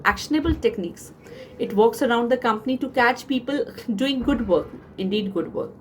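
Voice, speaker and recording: A woman with an Indian accent speaking as a teacher, giving a measured, instructive presentation to students. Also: mid-range voice, a little hiss or hum. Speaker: teacher at a coaching institute. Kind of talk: educational book recommendations, semi-scripted presentation.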